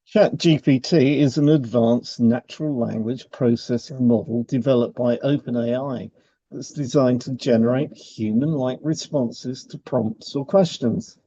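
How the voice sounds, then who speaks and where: A man's voice: mid-range voice, a little slow, mellow. A man co-hosting a podcast, reading explanatory material with chatty asides. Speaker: podcast co-host, hypnotherapist. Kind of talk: scripted podcast narration with informal asides. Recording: slightly dull, lo-fi sound.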